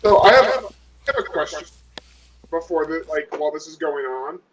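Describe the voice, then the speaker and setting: A man's voice: a little high, expressive, moderate pace, noisy background. A man chatting casually as a player in a tabletop game, insistent and restarting his questions.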